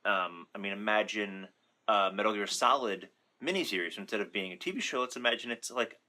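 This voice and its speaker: A man with a somewhat low-pitched voice that is bright and forceful. He talks like a video commentator sharing opinions off the cuff. His delivery is informal and rambling, with self-corrections and loose, sweary phrasing. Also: brisk. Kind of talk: casual opinion commentary, unscripted.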